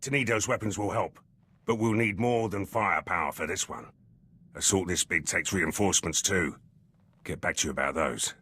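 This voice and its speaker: A gruff special-forces lieutenant from a video game. The voice is very low and husky, with a hard, forceful edge. He speaks in clipped, cynical phrases, as if every word costs him. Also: moderate pace, full character voice. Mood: brisk, businesslike. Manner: terse, clipped phrases that drop words. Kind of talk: video game character dialogue.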